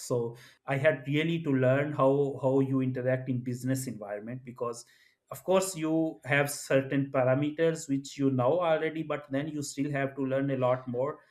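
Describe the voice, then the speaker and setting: A man from Pakistan co-hosting a business culture talk, speaking thoughtfully with many restarts. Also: mid-range voice. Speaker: co-host. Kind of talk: conversational podcast discussion.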